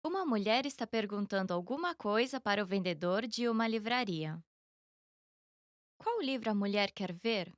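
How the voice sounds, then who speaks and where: A woman reading a scripted listening dialogue for learners in a clear, measured way. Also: mid-range voice, lively intonation, dry recording, clean studio sound. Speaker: dialogue narrator and speaker.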